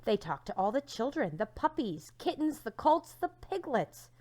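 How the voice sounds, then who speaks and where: A woman teacher reading a storybook aloud to young children, with dramatic emphasis and hushed endings to her phrases. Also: fairly high pitch, sing-song, moderate pace.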